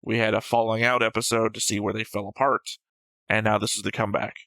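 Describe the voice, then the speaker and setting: A man hosting a casual reaction show in a bright, forceful voice with a gravelly edge. He is blunt and sweary, argues his points, and the sound is dry and close. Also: fairly low pitch, level tone, fast talker, no room sound. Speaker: host of anime reaction show. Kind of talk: casual chat.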